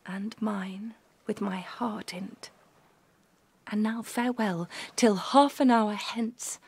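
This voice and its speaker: Woman playing a slow-spoken innocent young maiden. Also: mid-range voice, vocal fry, darkish tone, husky, no room sound, exaggerated voice. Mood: tender. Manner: earnest, tender. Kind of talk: Shakespearean romantic acting.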